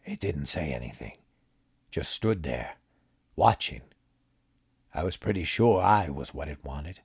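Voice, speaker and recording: A man voicing a weary, wary actor who speaks low and subdued. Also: animated, unhurried, darkish tone, breathy edge, dry recording, clean studio sound, full character voice. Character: weary, wary middle-aged male actor.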